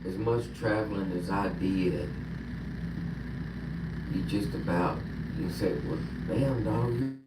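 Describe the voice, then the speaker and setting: A man's voice: low voice, takes it slowly, pauses often, reverberant, heavy background noise. A man makes casual, offhand remarks from off to the side, away from the microphone. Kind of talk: casual chat.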